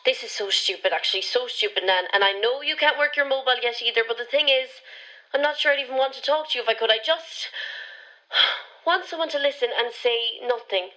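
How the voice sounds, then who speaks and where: An actress playing a frustrated, disillusioned young student venting with self-doubt in a radio play voicemail. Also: female voice, on the high side, brisk, sharp tone, rough recording. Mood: upset, venting. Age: young adult.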